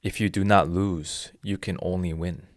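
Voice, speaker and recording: Low-voiced man explaining martial arts philosophy. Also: fairly even intonation, normal speed, no echo, studio quality. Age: 30s. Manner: earnest, explanatory, with many restarts. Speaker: jiu-jitsu instructor. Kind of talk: casual interview talk.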